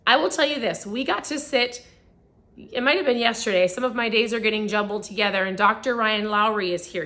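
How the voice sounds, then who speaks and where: A chatty woman in her forties hosts a live stream, talking straight to her viewers in an upbeat way. Her voice is fairly high and very bright, yet hoarse and a little raspy. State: hoarse from screaming. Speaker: host of a live video. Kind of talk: casual live-stream chat.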